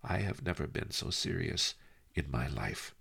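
A wily old sage from a storybook, calm and dry-witted, acted by a male narrator. Deep and gravelly, with a husky texture and a lilting, expressive line. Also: slow pace, exaggerated voice. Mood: wry.

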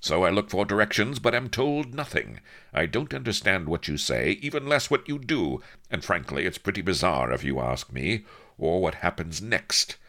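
A man's voice: low voice, very animated, normal speed, pushed and bright, voice pushed up, full character voice. A man playing a naive, gallant young naval officer in an audiobook, speaking with fervent, old-fashioned earnestness. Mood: bewildered. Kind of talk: audiobook character voice.

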